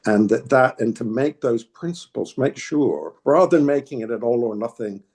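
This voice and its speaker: A man's voice: mid-range voice, expressive, moderate pace, a little mellow. An older man with a New England accent, answering interview questions in a hesitant, thoughtful way, with frequent restarts. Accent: New England American. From New England, United States. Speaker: interviewee, political activist. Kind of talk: reflective interview talk.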